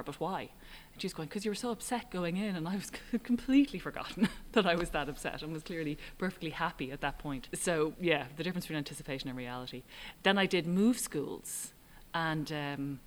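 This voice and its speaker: A middle-aged Irish woman, a writer and director, answering interview questions in a thoughtful, halting way with frequent ums. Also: deep voice, a little hiss or hum. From Ireland. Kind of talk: relaxed reflective interview answers.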